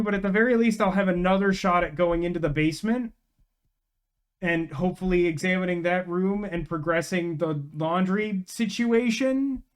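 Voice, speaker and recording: Man, high-pitched voice, casual streamer commentary, rambling, emphatic, slangy wording. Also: clean tone. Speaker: game streamer. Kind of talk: casual live stream chat, game commentary.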